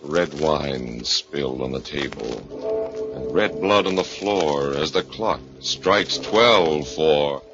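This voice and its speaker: Slow creepy old radio announcer, theatrical and ominous, music behind, muffled recording. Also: male voice, very deep voice, exaggerated voice. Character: ominous male announcer of a midnight murder show. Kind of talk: 1940s radio horror announcing.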